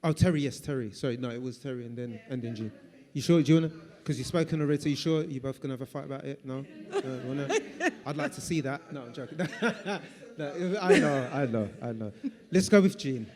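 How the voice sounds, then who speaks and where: A man's voice: medium pitch, a little fast, darkish tone. A man moderating a talk, calling on audience members in a playful, joking way. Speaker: moderator picking questioners.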